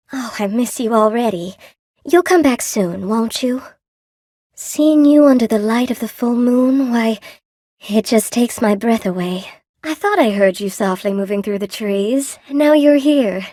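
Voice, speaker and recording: An actress voicing a gentle, lonely spider-woman shopkeeper in a video game, polite and sweetly attentive, with old-fashioned genteel phrasing. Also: on the high side, no echo, studio quality, close to an everyday voice. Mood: tender, eager. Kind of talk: video game character voice acting.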